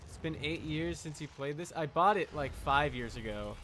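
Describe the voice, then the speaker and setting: A man's voice: a little high, normal speed, bright, forceful tone, slight background noise. A man giving casual, offhand live commentary as he plays a video game, muttering to himself and playfully taunting enemies.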